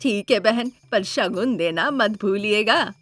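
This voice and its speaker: A woman's voice: mid-range voice, animated, unhurried, no room sound. A wheedling village priest and matchmaker from a cartoon, voiced by a woman. He is ingratiating in his manner. Faint music plays underneath.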